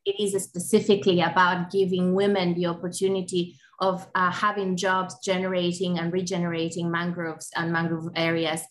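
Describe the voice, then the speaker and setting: A woman's voice: fairly low pitch, monotone, moderate pace, hardly any pauses. A woman in her forties from Ecuador, speaking on an online panel over a video call, earnest and full of fillers and restarts. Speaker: panelist from a bird conservation group. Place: home or office on a video call, indoors. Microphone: laptop webcam mic on a video call.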